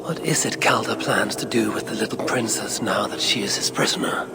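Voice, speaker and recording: Low-pitched older Norse god, creaky gravel, sardonic, haughty muttering, video game drama, heavy background noise. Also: male voice. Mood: wry contempt. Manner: muttering, measured, haughty, wry. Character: grim, sardonic, arrogant older Norse god, tracking enemies.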